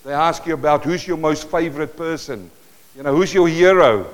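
A man from South Africa with an Afrikaans accent, preaching a sermon to a congregation and telling a personal story with animated bursts. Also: fairly high pitch, normal speed, rough recording. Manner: storytelling, animated bursts, moves around the stage. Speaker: pastor. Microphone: worn or handheld mic that cuts in and out while he moves.

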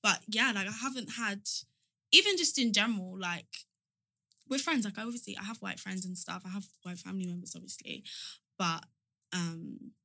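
A young woman in a casual group chat, speaking hesitantly and trailing off into soft asides. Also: medium pitch, normal speed, creaky.

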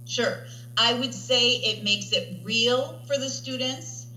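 A woman's voice: a little high, slow pace, bright, forceful tone, echoey room, a little hiss or hum, poor audio. A woman language teacher talking casually in an interview and often checking in with "right?". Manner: chatty, tags statements with "right?". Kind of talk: interview conversation.

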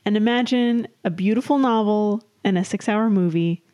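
A woman's voice: mid-range voice, moderate pace, mellow. A woman co-hosting a podcast in casual banter, giggly and playful, often correcting herself as she goes. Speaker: podcast co-host. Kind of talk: casual banter between co-hosts.

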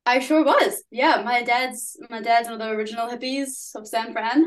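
A woman's voice: a little high, fairly even intonation, moderate pace. A young woman from New Zealand, a musician guest chatting casually in an interview, full of "like" fillers and giggles.

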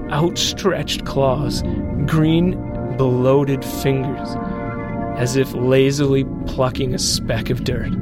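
Man playing a wounded robot pilot, brooding, medium-pitched, solemn audio-drama storytelling, loud music behind. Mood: grim, despairing. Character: wounded male giant-robot pilot, haunted, recounting horrors, then terrified.

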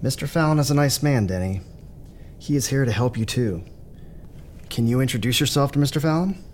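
Measured, gentle psychiatrist played by a man. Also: mid-range voice, expressive, slight background noise, close to an everyday voice. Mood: gentle, reassuring. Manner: measured, reassuring. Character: gentle, composed male psychiatrist. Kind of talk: audio drama dialogue.

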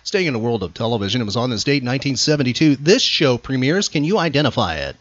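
A man's voice: mid-range voice, sing-song, fast, loud music behind the voice. A man hosting a trivia podcast with casual, teasing, mock-incredulous banter, on a slightly dull, dry recording.

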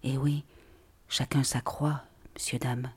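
A man reading a literary monologue aloud in a breathy, often half-whispered voice. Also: medium pitch, level tone, slow pace, darkish tone.

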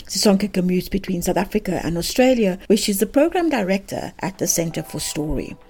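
A woman's voice: mid-range voice, lively intonation, brisk, mellow, slightly rough. A woman hosting a podcast, reading a scripted introduction and then asking conversational questions. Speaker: podcast host. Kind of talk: podcast interviewing.

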